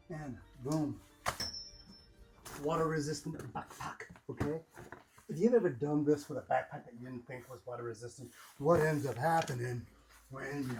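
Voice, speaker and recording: A man's voice: fairly high pitch, poor audio. A man talking casually and jokingly to camera indoors while he gets dressed, in short slangy bursts full of catchphrases and exclamations. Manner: playful, peppers talk with exclamations and catchphrases; slangy, jokey self-narration in short bursts. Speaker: vlogger demonstrating his cycling rain gear. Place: indoors at home.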